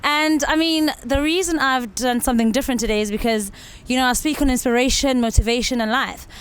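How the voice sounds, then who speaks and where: A woman's voice: high voice, brisk, slight background noise, low-quality recording. A woman hosting a casual interview with musicians. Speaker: interview host.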